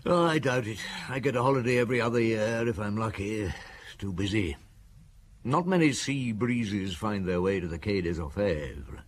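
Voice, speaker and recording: An actor playing a seasoned, genial middle-aged police inspector in a radio drama, conversational, wry and musing. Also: male voice, on the low side, lively intonation, moderate pace.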